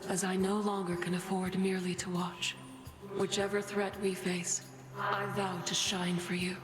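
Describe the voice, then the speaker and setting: Woman doing calm stately goddess for a game, breathy, echoey, music behind. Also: medium pitch, normal speed, lightly acted. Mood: serene. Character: serene moon goddess, gentle and solemn. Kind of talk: video game acting. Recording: echoing, music underneath.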